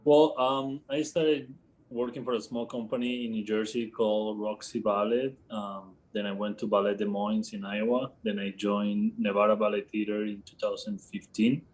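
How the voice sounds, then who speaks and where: A man from Colombia, a professional ballet dancer, answering in a relaxed way with some ums. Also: lowish voice, moderate pace, rough recording. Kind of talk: casual interview answers.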